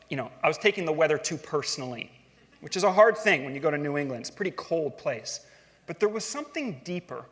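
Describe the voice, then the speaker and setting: A man's voice: high voice, a bit bright. A middle-aged man giving a storytelling stage talk in a conversational, self-deprecating way, asking the audience questions. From grew up in Switzerland and California. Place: large hall on stage. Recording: long hall reverb.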